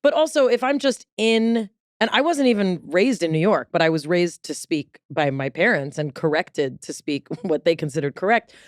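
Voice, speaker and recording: A woman's voice: on the low side, expressive, normal speed, hardly any pauses, no room sound, clean studio sound. A woman hosting a podcast interview, talking in an articulate, reflective way and explaining at length. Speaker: podcast host. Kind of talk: casual interview chat.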